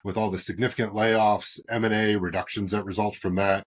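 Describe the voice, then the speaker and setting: A man in his forties hosting a business podcast, talking in a rambling way with lots of fillers and animated emphasis. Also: on the low side, a little fast. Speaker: podcast host and moderator.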